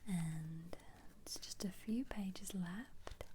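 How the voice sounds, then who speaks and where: A woman speaking in a breathy, unvoiced whisper close to the mic, gently musing in a casual ASMR commentary. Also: fairly low pitch, drawn-out words, noisy background. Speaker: ASMR video creator.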